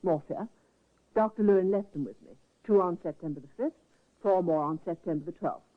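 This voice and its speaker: An actress playing a composed nurse accused of murder, speaking coolly and precisely in a 1950s radio drama. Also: female voice, fairly low pitch, brisk, natural voice. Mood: guarded calm. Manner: cool, precise. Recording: tinny old radio broadcast.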